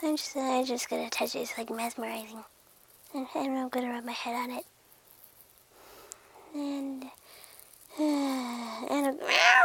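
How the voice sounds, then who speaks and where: Woman as a high, whiny little kitty. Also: lively intonation, unhurried, creaky, long pauses, bright, forceful tone, slight background noise, heavily acted, rough recording. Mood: blissful, mesmerized. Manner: whiny, repetitive, babyish self-narration with meows. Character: childish, moody, excitable little pet house cat. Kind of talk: comic voice-over of a pet's thoughts.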